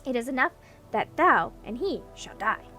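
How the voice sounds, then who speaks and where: A woman voicing a huge stern male djinn in a storybook, booming, with grand archaic, pompous speech. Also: very animated, moderate pace, bright, forceful tone, soft background music. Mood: imperious.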